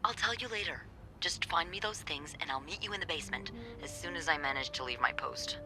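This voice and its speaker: Bold hotel employee, woman, low voice, very animated intonation, wry, confident, playful, game dialogue. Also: brisk, over music. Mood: intrigued, determined. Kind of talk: naturalistic video game acting.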